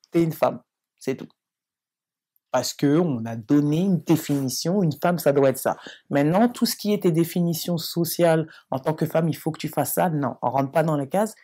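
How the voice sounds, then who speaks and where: A woman's voice: deep voice, dark tone, husky. A woman from France speaking reflectively and earnestly in a casual interview, acting out remembered exchanges. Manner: reflective and earnest, mimics others in quoted exchanges. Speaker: documentary interviewee.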